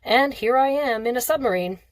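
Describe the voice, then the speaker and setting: A woman's voice: fairly high pitch, fairly quick, no room sound, clean studio sound, pitched above the performer's own voice, lightly acted. A woman reading the part of a smug, teasing sixteen-year-old rich boy in a storybook, breezy and self-assured. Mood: amused.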